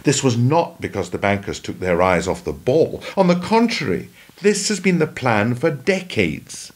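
A man acting as a defiant, principled ex-prime minister in a radio drama. His voice is husky and medium-pitched, and it swings widely as he makes his earnest, emphatic speeches. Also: sing-song, moderate pace. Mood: earnest, grave.

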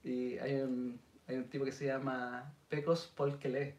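A man in his thirties with a Chilean accent, telling stories in a relaxed podcast chat with Chilean slang. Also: mid-range voice, unhurried. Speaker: podcast host. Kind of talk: casual chat. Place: indoor studio set with city backdrop. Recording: roomy sound, mic a bit distant.

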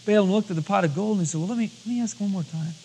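A man's voice: high-pitched, racing, dark tone, slight background noise. A man preaching a storytelling sermon as a pastor, acting out dialogue in everyday colloquial words. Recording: long hall reverb, cassette tape transfer.

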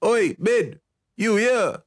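A man voicing an irritable, rough-spoken teenage ranch lad in a fantasy storybook, with a nasal voice. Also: high voice, lively intonation, extremely slow, pitched above the performer's own voice, full character voice.

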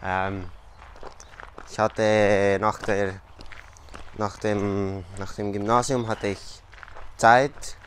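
A man's voice: fairly low pitch, level tone, a little hiss or hum. A man in his twenties from Switzerland with a Swiss accent, giving casual, hesitant interview answers outdoors. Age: 20s. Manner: hesitant, measured, halting phrasing. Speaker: interview guest, pilgrim. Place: outdoors on a field path and at a garden table.

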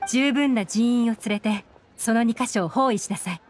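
A woman's voice: on the high side, moderate pace, a little mellow, slight background noise, natural voice. A woman playing a composed, shrewd young intelligence chief who speaks with authority in an anime game. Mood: commanding. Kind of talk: Japanese anime game voice acting. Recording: game music behind.

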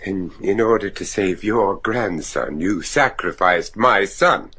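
An actor playing a grieving, defiant gentleman father in a gothic radio drama, deliberate and accusing. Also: low voice, lively intonation, slightly rough, heavily acted.

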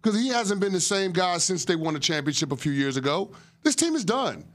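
A man arguing bluntly and emphatically as a sports analyst on a studio debate show, at a desk boom mic. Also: sing-song, brisk.

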